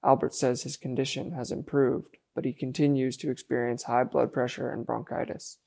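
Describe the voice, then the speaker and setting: A male reporter narrates a news story in a flat, measured voice. A gravelly edge runs through his reading. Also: mid-range voice. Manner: even, measured delivery.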